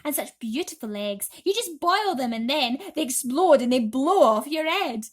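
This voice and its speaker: A woman's voice: high voice, animated, normal speed, pitched above the performer's own voice. A woman voicing an exuberant, mischievous male centipede, reciting in singsong storybook style. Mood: singsong, playful. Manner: exuberant, singsong rhyming delivery. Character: excitable, boastful male centipede, grinning and mischievous.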